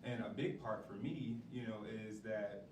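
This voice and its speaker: A man's voice: fairly low pitch, steady tone, unhurried, far from the mic. A man speaking earnestly and reflectively in a preacherly way, quoting scripture during an interview as a production manager and acting coach. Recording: roomy echo, off-mic, clear background noise.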